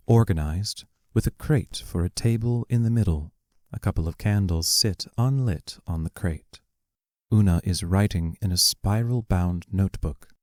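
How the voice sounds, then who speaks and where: A man reading the stage directions of an audio play in a measured, matter-of-fact way. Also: low voice, a little mellow, no echo, studio quality. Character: impersonal stage-direction narrator. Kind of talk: scripted narration.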